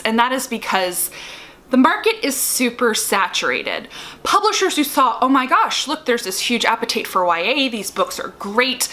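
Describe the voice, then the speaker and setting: A woman's voice: fairly high pitch, pushed and bright. A woman vlogger giving opinionated advice to her viewers in an informal, wry, emphatic way, stressing key words. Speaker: YouTube host, writing advice vlogger. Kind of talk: opinionated advice vlog to camera.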